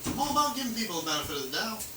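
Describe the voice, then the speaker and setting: A man's voice: high-pitched, big pitch swings, rapid-fire. A man working as a police officer, casually explaining the law in an easygoing, conciliatory way and often repeating his points. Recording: noisy, echoey audio, distant mic.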